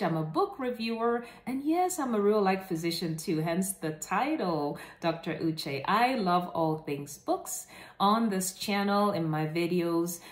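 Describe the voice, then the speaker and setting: A woman hosting a children's read-aloud, telling stories to the viewer in an expressive, deliberate and emphatic way. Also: mid-range voice. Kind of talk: animated read-aloud storytelling to camera. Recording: echoey room.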